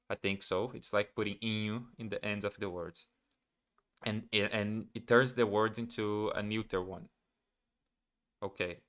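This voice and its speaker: Slow, bright-voiced man thinking aloud. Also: lowish voice, smooth. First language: Portuguese. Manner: hesitant, self-correcting, muttering to himself. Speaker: language learner streaming live. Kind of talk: thinking aloud while studying.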